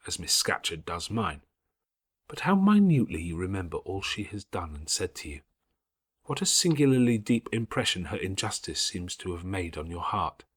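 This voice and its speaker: A man voicing a calm, pious, forgiving schoolgirl in an audiobook, speaking in measured, earnest, formal Victorian phrases. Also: deep voice, slightly rough, heavily acted. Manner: measured, gently preaching, formal Victorian diction. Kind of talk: audiobook character acting.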